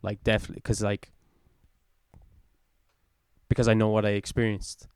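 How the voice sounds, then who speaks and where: A man in his twenties rambles earnestly through his ideas and leans on lots of filler words. His voice is smooth and a touch low, and the sound is dry and close. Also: flat delivery, moderate pace, a little mellow, no room sound. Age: 20s. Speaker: podcast co-host. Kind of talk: casual chat.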